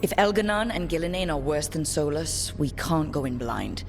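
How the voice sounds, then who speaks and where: A woman playing a level-headed, decisive party leader in a fantasy game, speaking in a measured, frank way. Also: low-pitched, expressive. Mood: measured, resolute. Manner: measured, frank, matter-of-fact. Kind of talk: video game cutscene dialogue. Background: prominent game soundtrack music.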